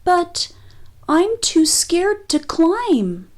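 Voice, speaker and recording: A woman's voice: extremely high pitch, big pitch swings, drawn-out words, voice pushed up, exaggerated voice. A woman voicing a tiny, lonely girl mouse from a children's storybook, sweet and pleading in a sing-song way. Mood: wistful, polite.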